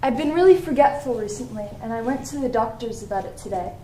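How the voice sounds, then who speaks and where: A woman's voice: fairly high pitch, animated, quick pace, dark tone, close to an everyday voice. A woman playing a loving, forgetful middle-aged mother, reciting diary entries tenderly and earnestly in a stage play. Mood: apologetic, loving. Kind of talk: dramatic monologue in a one-act play. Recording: echoey stage, background noise.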